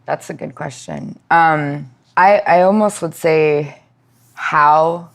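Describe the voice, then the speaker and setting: A woman speaking as a podcast guest, giving thoughtful conversational answers and pausing mid-thought as she reflects. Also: low-pitched. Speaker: podcast guest, nonprofit outreach and education manager.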